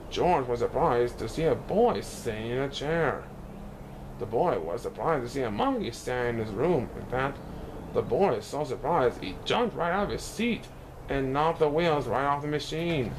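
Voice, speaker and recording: A man reading a picture book aloud in an expressive way, now and then stumbling over words. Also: mid-range voice, background noise. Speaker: children's book reader.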